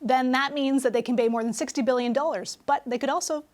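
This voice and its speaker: A woman's voice: high-pitched, steady tone, fast talker, a little mellow. A Canadian woman reporting the news conversationally in a studio.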